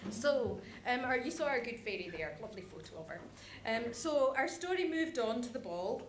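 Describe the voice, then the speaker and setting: A woman's voice: on the high side, very animated, moderate pace. A woman giving an informal conference presentation in a chatty, self-deprecating way. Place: conference hall, live presentation. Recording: echoey room with background noise.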